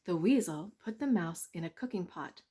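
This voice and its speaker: A woman narrating a children's bedtime story in a measured, expressive read-aloud manner. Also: on the low side, breathy edge.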